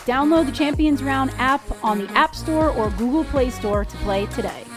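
A woman reading a sponsor ad for a podcast in a bright, promotional way. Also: high voice, normal speed, music in the background. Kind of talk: sponsor read.

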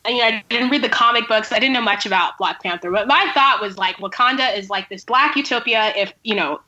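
High, bright-voiced woman, slightly dull recording. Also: brisk. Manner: reflective, conversational, frequent "like" and "you know". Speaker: podcast panelist. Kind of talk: casual discussion.

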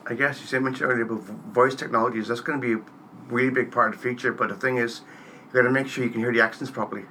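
Fast, bright-voiced man. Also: medium pitch, fairly even intonation. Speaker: interviewer. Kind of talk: casual conversational interviewing. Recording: faint background noise.

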